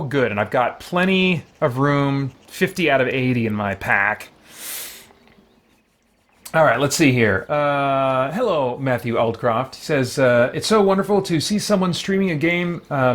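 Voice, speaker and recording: A man chatting casually and a little self-effacingly while livestreaming a game. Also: mid-range voice. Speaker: game streamer.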